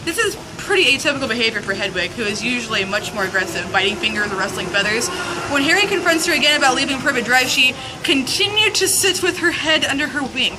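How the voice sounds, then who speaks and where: A woman giving a playful, mock-serious dramatic reading of a humorous essay. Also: sits high. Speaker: reader of a fan zine. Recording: noisy outdoor urban recording with traffic.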